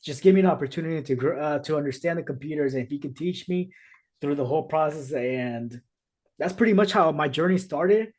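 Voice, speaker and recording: A man's voice: fairly high pitch, brisk, a little mellow. A man whose first language is Spanish, hosting a podcast over a video call and telling his own story earnestly, with many restarts. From United States. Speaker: podcast host. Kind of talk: casual conversation.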